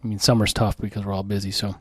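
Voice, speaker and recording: A man's voice: lowish voice, little pitch movement, very fast, slightly rough, no echo, clean studio sound. A man co-hosting a casual beer podcast, cracking crude jokes and talking loosely with lots of fillers. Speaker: podcast co-host. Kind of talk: casual chat over beers.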